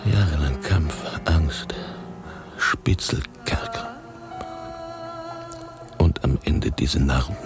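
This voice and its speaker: An actor playing a bitter, world-weary revolutionary full of hatred, grimly emphatic, in a theatrical radio play. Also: male voice, low-pitched, gravelly, full character voice. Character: bitter, hate-filled scarred male revolutionary, world-weary.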